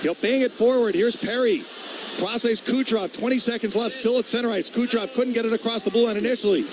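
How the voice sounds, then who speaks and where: A man's voice: very high-pitched, fast, a crowd in the background. A man doing live radio hockey play-by-play in clipped, short phrases that name players as the action moves. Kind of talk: live play-by-play sports commentary. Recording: noisy arena ambience behind the voice.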